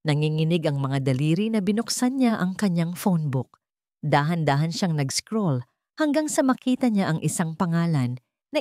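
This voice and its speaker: A woman's voice: lowish voice, mellow, no echo, clean studio sound. A woman reading scripted fiction as a narrator, in a solemn, emotive storytelling style.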